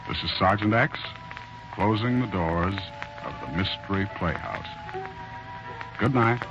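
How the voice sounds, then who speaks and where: A man's voice: fairly low pitch, animated, a little slow, music in the background. A man hosting a 1940s radio mystery program with wry, folksy, theatrical scripted patter. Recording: dull, noisy old radio recording.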